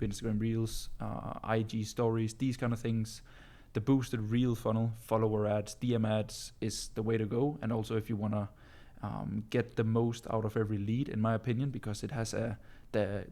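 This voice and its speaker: A Danish man whose first language is Danish, talking casually in a live Q&A about business, often calling his listeners bro and filling pauses with um and uh. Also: on the low side, warm tone. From Denmark. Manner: casual, calls listeners bro, many ums and uhs. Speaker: business coach answering viewer questions.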